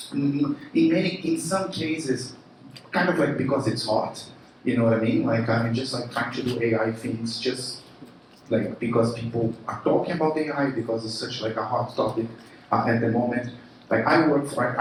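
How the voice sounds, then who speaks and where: A man from Brazil with a Brazilian Portuguese accent, giving a casual, animated keynote talk full of fillers and restarts. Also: medium pitch, slight background noise. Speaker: conference speaker, marketing chief. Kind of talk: keynote presentation. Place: large room at a live event. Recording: echoey room from a distance.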